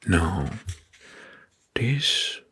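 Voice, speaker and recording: A man whispering an ASMR history narration in a breathy, mostly unvoiced voice, pausing between short phrases and often repeating words. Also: deep voice, big pitch swings, bright, forceful tone, no echo. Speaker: ASMR host presenting history facts. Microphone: close microphone.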